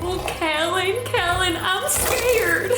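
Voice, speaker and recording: Very shrill, frightened female accomplice. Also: slow, loud music behind the voice. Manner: whimpering, dramatic, pleading. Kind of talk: noir audio drama acting. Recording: echoey, roomy sound.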